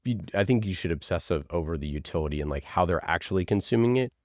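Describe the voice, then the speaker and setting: A man answering interview questions casually, in a halting, conversational way with many small filler words. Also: sits low, animated, darkish tone, no room sound, clean studio sound. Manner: halting, conversational, frequent "like" and "you know". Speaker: podcast producer, interview guest.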